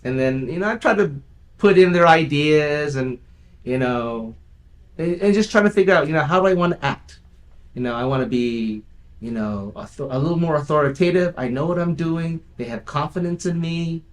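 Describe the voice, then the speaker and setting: A man talks in a relaxed, good-humored way as a guest on an interview show, his voice sitting a bit high for a man. He often chuckles and restarts his sentences, filling the gaps with "you know". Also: normal speed. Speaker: film company owner and actor, guest. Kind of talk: casual interview chat.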